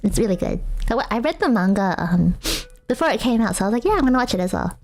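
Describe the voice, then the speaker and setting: A woman's voice: a little high, very animated, quick pace, a little mellow. A young woman streaming a casual chat with viewers, rambling and self-mocking with lots of fillers and frequent laughter. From Australia.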